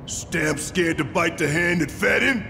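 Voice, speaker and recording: An actor playing a burly, hot-tempered militant leader in a video game, gravelly, emphatic and confrontational. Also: male voice, a little high, unhurried, pushed and bright, heavily acted. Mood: taunting. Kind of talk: video game cutscene acting. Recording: reverberant hall, game music behind.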